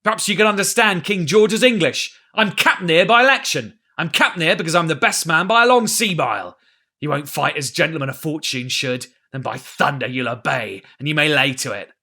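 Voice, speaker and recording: A man voicing a crafty, blustering old male pirate captain with gruff sea-dog talk and roaring threats. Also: medium pitch, a little fast, bright, forceful tone, natural voice. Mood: scornful. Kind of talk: audiobook character reading.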